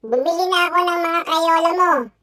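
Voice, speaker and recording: A man's voice: extremely high pitch, normal speed, over music, voice pushed up. A man voicing a chirpy, kindly mother in a children's storybook, with a polite sing-song manner. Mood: bright, fond.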